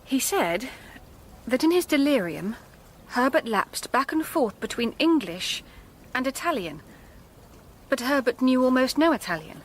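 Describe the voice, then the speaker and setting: A woman's voice: high-pitched, big pitch swings, measured pace, slight background noise. An actress playing a determined, grave young woman in a radio drama, composed and probing. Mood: accusing.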